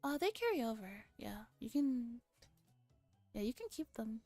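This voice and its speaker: A woman's voice: fairly high pitch, big pitch swings, a little slow, darkish tone, no echo, faint music behind. A woman streamer with a playful, casually profane manner, reading a visual novel aloud and chatting casually.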